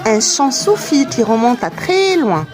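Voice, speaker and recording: A woman's voice: a little high, big pitch swings, unhurried, over music. A woman voicing a cheerful, knowledgeable young girl who explains things enthusiastically in a scripted children's educational cartoon. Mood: instructive.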